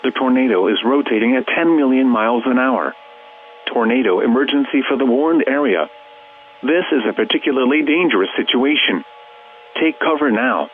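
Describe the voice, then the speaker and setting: A synthetic male voice playing an automated weather radio announcer, reading an emergency bulletin in a flat, measured, mechanical way. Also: medium pitch, natural voice. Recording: tinny narrowband radio, hum and hiss.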